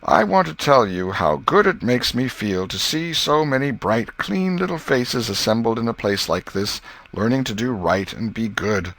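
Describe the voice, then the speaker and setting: A man's voice: medium pitch, expressive, moderate pace, fairly bright tone, pitched above the performer's own voice. A man voicing a pompous male Sunday-school superintendent, speaking in a sugary, condescending way to children in a storybook reading. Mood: sugary, preachy.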